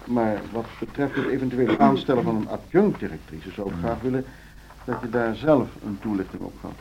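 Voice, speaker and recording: A man's voice: medium pitch, fairly quick, creaky, close to an everyday voice. An actor playing a genial board chairman in a radio drama, running a meeting in a courteous, formal, procedural way. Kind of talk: naturalistic radio drama dialogue. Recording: dull, noisy old radio play recording.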